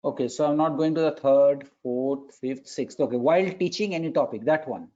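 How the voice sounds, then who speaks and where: A man's voice: mid-range voice, normal speed, mellow. A man, moderating a webinar and politely running timed polls. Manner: polite, procedural, counting down the time. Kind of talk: moderating an online session. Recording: dull lo-fi online call audio.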